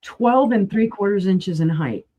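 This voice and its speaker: A chatty woman hosts a live auction sale, full of enthusiastic asides. Her voice is going hoarse. It sits in an ordinary middle pitch. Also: measured pace, mellow, muffled recording. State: losing her voice, clearing throat.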